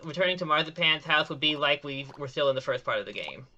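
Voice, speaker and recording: Bright-voiced man explaining a speedrun. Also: on the high side, lively intonation, fast, dull audio. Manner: explanatory, frequent self-corrections and restarts. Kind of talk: live speedrun commentary.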